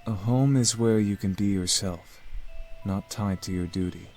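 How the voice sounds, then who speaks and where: A man voicing a formal, earnest young demon prince in a visual novel, soft-spoken, with music underneath. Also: lowish voice, unhurried.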